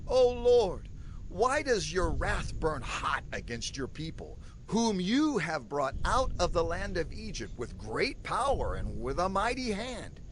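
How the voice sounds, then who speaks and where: An actor playing a fervent prophet and leader in a scripture audio drama, declaiming with impassioned intensity. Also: male voice, sits high, big pitch swings, measured pace, slight background noise, rough recording. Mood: pleading. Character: Moses, fervent male prophet and leader of his people. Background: music.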